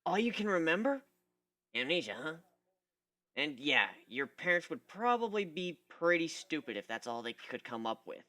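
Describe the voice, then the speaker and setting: A man's voice: fairly high pitch, sing-song, normal speed, bright, forceful tone. A man voicing a brash, irritable young female griffin, snappy and scornful, in a dramatic story reading. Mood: brusque. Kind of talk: dramatic reading of fan fiction.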